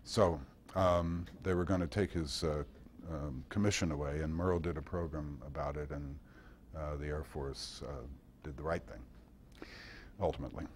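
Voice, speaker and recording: A man giving an informal talk and answering questions in a halting, reflective storytelling manner. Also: a bass voice, fairly even intonation, darkish tone, a little hiss or hum. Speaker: guest speaker.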